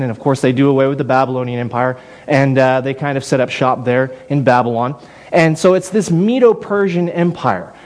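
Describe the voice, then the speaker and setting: Male preacher with a fairly high voice, lively and chatty, restarts his phrases as he teaches. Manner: conversational, repeats words and restarts often. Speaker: pastor. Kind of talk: sermon.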